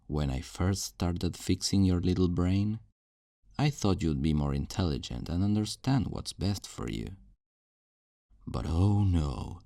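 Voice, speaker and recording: A man's voice: deep voice, gravelly, warm tone, no echo, studio quality, exaggerated voice. A man playing a possessive, self-righteous kidnapper in an ASMR roleplay, speaking in a condescending, coaxing, menacingly tender way. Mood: bitter reproach. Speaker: audio roleplay performer.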